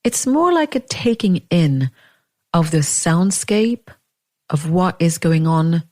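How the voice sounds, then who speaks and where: A woman leading a guided meditation in a gentle, unhurried way, leaving long pauses between phrases. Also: lowish voice, animated.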